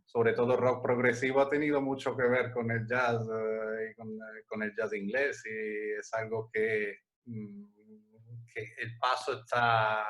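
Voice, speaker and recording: A man from Italy speaks with a clear Italian accent, his voice sitting low. He answers questions at an easy, unhurried pace, often stopping to restart a thought. Now and then a soft laugh slips into his answers. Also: low-quality recording. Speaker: interview guest, music agency director. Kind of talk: relaxed conversational interview.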